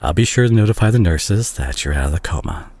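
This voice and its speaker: Man playing a deep, husky, tender doctor ex-boyfriend. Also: expressive. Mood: tender, soothing. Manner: hesitant, soft-spoken, halting. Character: remorseful, tender male doctor, listener's ex-boyfriend. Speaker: audio roleplay voice actor. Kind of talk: intimate boyfriend roleplay audio drama.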